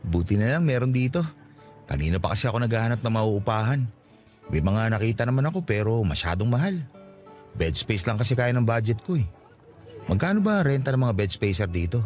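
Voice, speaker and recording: An actor playing a modest, polite newcomer from the provinces, a little hesitant, in a radio drama. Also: male voice, lowish voice, big pitch swings, fairly quick, darkish tone, faint music behind. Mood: polite, unsure. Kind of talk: Tagalog radio drama acting.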